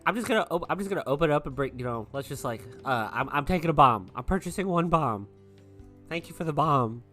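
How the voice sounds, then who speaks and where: Animated man chatting through a tabletop game. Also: a little high, quick pace, soft background music. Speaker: player in a tabletop RPG podcast. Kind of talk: casual improvised game chat.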